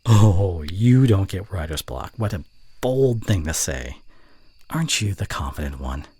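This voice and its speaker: A young man playing a teasing, fond college student and voice actor in an intimate ASMR roleplay, with a breathy voice that often drops toward a whisper and halting, playful delivery. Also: low-pitched, sing-song, warm tone, no echo, full character voice. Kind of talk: scripted ASMR roleplay addressed to the listener.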